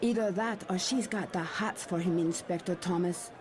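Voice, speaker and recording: A woman's voice: lowish voice, normal speed, crowd noise, close to an everyday voice. A woman playing a wry, gossipy young superheroine in a motion comic, casual, dry and teasing.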